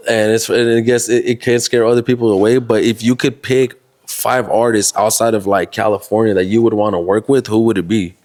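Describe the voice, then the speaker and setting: A man from the Los Angeles area hosting a casual interview, talking in loose, filler-heavy sentences. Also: fairly low pitch. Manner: relaxed, drawn-out phrasing, heavy filler, swearing. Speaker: interview host.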